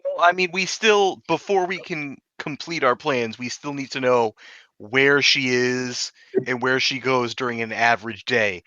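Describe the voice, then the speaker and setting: A man's voice: mid-range voice, a little slow, sharp tone, dry recording. A man in casual table talk as a tabletop role-player, recorded with a dull lo-fi sound.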